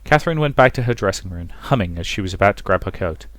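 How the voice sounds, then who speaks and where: A man's voice: low-pitched, lively intonation, quick pace, a bit bright, dry recording. A man narrating a visual novel playthrough, reading the story aloud and adding casual commentary, with a rambling way of talking. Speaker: let's player and narrator.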